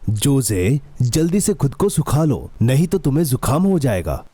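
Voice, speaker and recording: Man playing lively, patient cartoon helper. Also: mid-range voice, moderate pace, a little hiss or hum, natural voice. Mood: concerned. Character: caring, sensible male friend and minder, patient. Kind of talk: children's cartoon dub.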